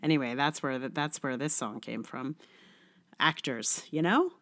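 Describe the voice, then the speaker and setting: A middle-aged woman hosting a podcast, chatting casually in a halting, informal and self-deprecating way. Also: rumbling low. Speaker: podcast host. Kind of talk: casual podcast outro chat.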